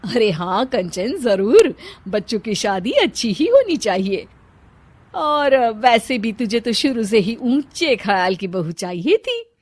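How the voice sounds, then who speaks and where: Woman acting a frank, high-voiced middle-aged matron. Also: very animated, slow pace, slight background noise. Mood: agreeable. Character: frank middle-aged women, mothers and friends, disapproving. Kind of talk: Hindi cartoon voice acting.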